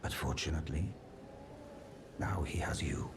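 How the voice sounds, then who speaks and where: A man voicing a gentle, loyal old giant blacksmith in a video game, courteous and solemn, with a hushed, breathy voice. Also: extremely low pitch, unhurried, in a whisper. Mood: wistful, kindly. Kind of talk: video game character dialogue.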